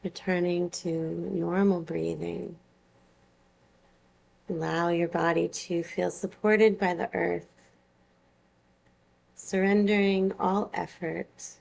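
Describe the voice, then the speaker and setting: A woman leads a guided meditation in a fairly low voice with a lot of vocal fry. She speaks very slowly, in soothing phrases with long pauses, as a yoga nidra teacher giving gentle instructions. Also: flat delivery.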